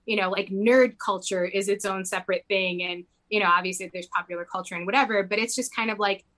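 A woman's voice: medium pitch, steady tone, fairly quick, fairly bright tone. A young woman who grew up in Mexico, talking casually in an interview, rambling with lots of "like" fillers. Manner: rambling, heavy use of "like" fillers.

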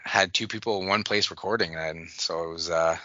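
A man with a very low voice rattles off quick anecdotes in a casual podcast chat. His tone is sharp and forceful despite the depth. The sound is dry and a little dull. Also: steady tone, dry recording. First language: French. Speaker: podcast co-host.

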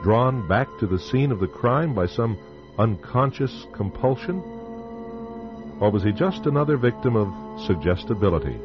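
A man hosting and narrating an old-time radio drama in a measured, ominous manner. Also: mid-range voice, expressive. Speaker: show host and narrator. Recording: dull lo-fi radio broadcast with music.

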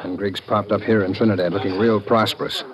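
An actor playing a matter-of-fact undercover agent in an old-time radio drama, casual and conspiratorial. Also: male voice, fairly low pitch, steady tone, fast, close to an everyday voice. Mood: confiding, serious. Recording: old radio recording with crowd murmur. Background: crowd murmur.

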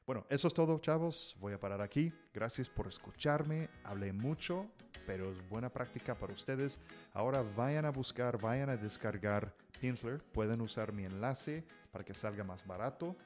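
A man's voice: mid-range voice, lively intonation, normal speed, darkish tone, soft background music. A male podcast host talking casually to language learners, with lots of fillers, restarts and Mexican slang. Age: adult, married. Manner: chatty, full of fillers and self-corrections. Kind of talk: casual solo podcast talk.

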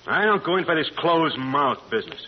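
Blunt, animated radio gangster boss. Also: male voice, a little high, brisk. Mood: pushy, suspicious. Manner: blunt, slangy tough-guy talk. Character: tough, suspicious male gang boss and crook. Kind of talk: 1930s-40s radio drama acting. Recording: dull, lightly noisy old radio recording.

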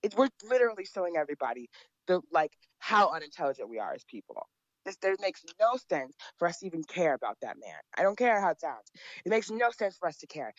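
A woman's voice: on the low side, no room sound, dull audio. A woman speaking as an interview guest, blunt and emphatic, repeating her points. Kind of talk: opinionated interview talk.

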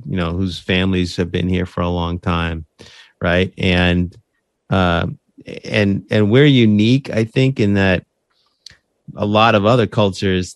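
A man's voice: deep voice, dry recording, clean studio sound. A man talking in a casual interview about running his podcast, earnest and conversational, often checking in with "right?" and restarting his phrases. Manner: frequent restarts and repeated words. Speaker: podcast host interviewed as guest.

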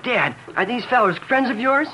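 Ranch kid: earnest boy, high-pitched and sharp, slightly noisy vintage radio recording. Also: expressive, normal speed, full character voice. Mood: defiant. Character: defiant young boy, rancher's loyal son. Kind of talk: 1950s radio western acting.

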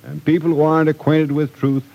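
A man's voice: a little high, flat delivery, a little fast, creaky, a little mellow. An actor playing a stern, protective older man in a 1940s radio drama, earnest and moralizing, in an old recording. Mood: stern, worried.

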